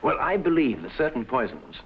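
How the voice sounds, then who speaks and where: A man's voice: mid-range voice, very animated, brisk, lightly acted. A man playing a brilliant, eccentric amateur chemist detective in an old-time drama, musing and politely distracted. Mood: absorbed, explaining. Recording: noisy, clicky, muffled old broadcast recording.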